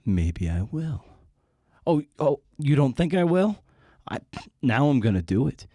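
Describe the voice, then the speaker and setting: A podcast host, a man with a lowish voice recorded very dry. He talks casually and conversationally, with a slangy, irreverent streak. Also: mellow, breathy edge, dry recording.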